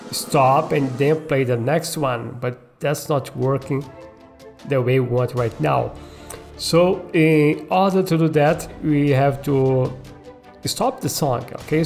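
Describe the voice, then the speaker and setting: Guy doing a coding tutorial, slow casual step by step, music in the back. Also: fairly high pitch, a little mellow. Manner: checks in often with tag questions like okay and all right. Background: background music.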